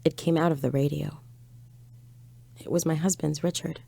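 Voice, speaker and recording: A woman's voice: low voice, steady tone, moderate pace, warm tone, exaggerated voice. An actress playing an uneasy woman driving alone at night, telling her strange story in a hesitant, reflective way for an audio drama. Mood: haunted, stunned. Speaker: lead actress narrating in first person. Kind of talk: dramatic audio fiction monologue and dialogue. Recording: clear vehicle and road ambience noise.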